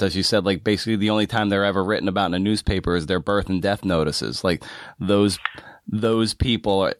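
A man hosting an interview in a casual, informal way, haltingly circling back over his phrases. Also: deep voice, no room sound, clean studio sound. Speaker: podcast host, interviewer.

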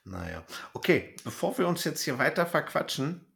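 A man's voice: fairly high pitch, very animated, moderate pace, gravelly, fairly bright tone. A man hosting a podcast, chatting informally and a little ramblingly. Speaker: podcast host. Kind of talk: casual chat, podcast sign-off.

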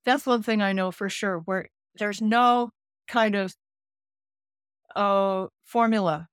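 Gentle woman in her sixties. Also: mid-range voice, takes it slowly, clean tone, dry recording, clean studio sound. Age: 60s. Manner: gentle, thoughtful, searching for words. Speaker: geriatric care manager and counselor, guest. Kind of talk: reflective interview conversation.

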